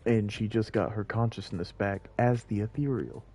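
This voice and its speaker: A man's voice: on the low side, moderate pace, warm tone, husky. A man giving casual, rambling, self-deprecating live commentary as he streams a game, musing to viewers. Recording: game music behind voice. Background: video game music.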